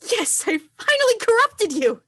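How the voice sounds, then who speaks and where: High-voiced playful young snowboarder acted by a woman. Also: very animated, normal speed, pushed and bright, dry recording, clean studio sound, heavily acted. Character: playful, teasing, devoted young semi-pro snowboarder love interest. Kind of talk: screenplay table read.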